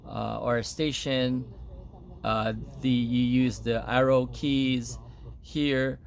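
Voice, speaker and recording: Male demonstrator, gravelly fry, mid-pitched, off-the-cuff and hesitant, walking through features step by step. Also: level tone, slow pace. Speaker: product demonstrator. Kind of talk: casual unscripted product demo.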